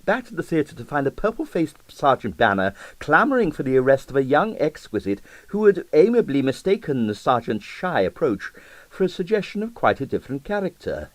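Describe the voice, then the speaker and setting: Animated male storyteller with a dry recording. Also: a little high, a little fast, no echo. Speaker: audiobook reader. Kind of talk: scripted narration of a comic novel.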